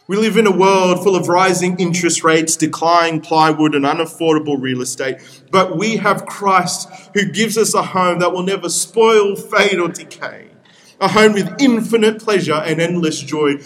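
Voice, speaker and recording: Young Peruvian-born pastor, Spanish native, slightly high voice, earnest sermon delivery. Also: male voice, fairly bright tone. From Peru. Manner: earnest, with repeated appeals and pauses.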